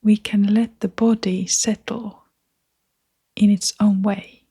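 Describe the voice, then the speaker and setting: A woman guiding a meditation in a soft, half-whispered voice, gentle and soothing, leaving long pauses between phrases. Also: mid-range voice, flat delivery, breathy edge. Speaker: meditation guide. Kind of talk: guided meditation narration.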